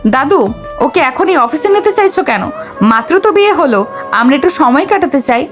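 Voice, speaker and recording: A woman acting as a proud young rich bride in an audio drama. Her voice is very high, and she is bossy and sure of herself. Soft music sits faintly underneath. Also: lively intonation, moderate pace. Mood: sweet, coy. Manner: assertive, coy with elders, sharp with husband. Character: haughty, bossy young heiress, newly married, sweet-talking her grandfather.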